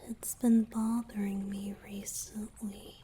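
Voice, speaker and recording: A woman voicing a lovesick young merman in a romantic ASMR roleplay, with a hesitant, earnest delivery in a breathy whisper. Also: mid-range voice, little pitch movement, takes it slowly, noisy background, close to an everyday voice. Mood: melancholy, yearning. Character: lovesick young merman, tender, anxious and yearning.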